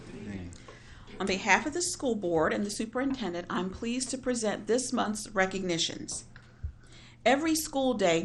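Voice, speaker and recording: A woman reading prepared recognition remarks at a school board meeting from a podium microphone, formal and announcing. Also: mid-range voice.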